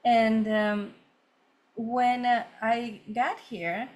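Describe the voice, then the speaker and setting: A woman's voice: fairly high pitch, flat delivery, extremely slow. Woman from Ecuador, a feng shui consultant. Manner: earnest, many fillers and restarts. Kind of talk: conversational storytelling interview.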